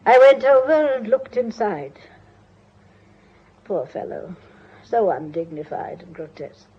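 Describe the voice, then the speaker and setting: An actress playing an imperious, composed old Victorian matriarch in a British radio drama, husky and precise in a formal, commanding way. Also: female voice, a little high, big pitch swings, a little slow, slight background noise. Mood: composed, matter-of-fact. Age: older. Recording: dull, muffled radio drama audio.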